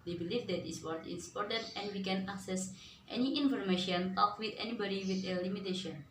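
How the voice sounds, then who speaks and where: A young woman with an Indonesian accent hurries through a memorized formal speech and often restarts her words. Her pitch sits in the middle. She is heard from across a reverberant room with faint background noise. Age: young adult. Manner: quick, with many restarts. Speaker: student speaker. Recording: roomy echo, from far off, faint noise.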